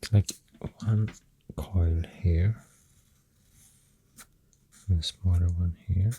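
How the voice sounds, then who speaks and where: An ASMR host with a Swedish accent, rambling softly and hesitantly in a breathy voice that often drops to a whisper, close to the mic over pencil sounds. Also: low-pitched, steady tone, a little hiss or hum. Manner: hesitant, unhurried musing. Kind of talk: soft-spoken ASMR rambling. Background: pencil drawing and clicking sounds.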